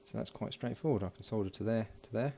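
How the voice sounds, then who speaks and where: A man's voice: lowish voice, quick pace, creaky, a little mellow, slight background noise. A man giving casual, unscripted hands-on commentary as he builds an electronics project, murmuring along while he works. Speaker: hobbyist electronics builder and presenter.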